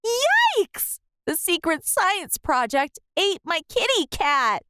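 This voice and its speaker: Woman as an excitable, high-pitched storybook kid. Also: slow, noisy background, exaggerated voice. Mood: alarmed. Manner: exclamatory, dramatic. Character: excitable child narrator with a runaway science project.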